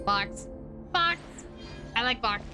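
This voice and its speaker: A woman's voice: a little high, lively intonation, slow. A woman streaming a game live, chatting casually in a playful, self-deprecating way. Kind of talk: casual live gameplay commentary. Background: game music.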